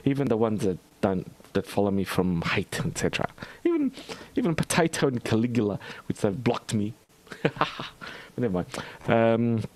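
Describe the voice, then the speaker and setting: Rambling, lowish-voiced man with an Australian accent. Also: very animated, moderate pace, a little mellow. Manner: rambling and hesitant, full of ums, restarts and asides. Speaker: archaeology channel host. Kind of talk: casual vlog commentary reading out a study.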